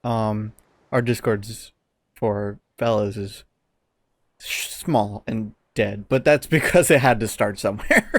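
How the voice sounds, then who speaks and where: A man's voice: fairly low pitch, slow, fairly bright tone, no echo. A young man co-hosting a podcast in an informal, slightly sardonic conversational style. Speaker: podcast co-host. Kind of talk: casual podcast chat.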